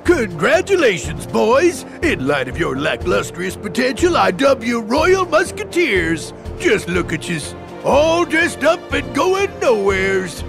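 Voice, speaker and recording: A man voicing a big bullying cartoon villain in a game, with a gravelly voice, an American accent and a pompous, sneering manner. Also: very high-pitched, big pitch swings, slow pace, few pauses, music in the background, heavily acted. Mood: mock-pompous. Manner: pompous, sneering, mangles fancy words. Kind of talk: animated game villain acting.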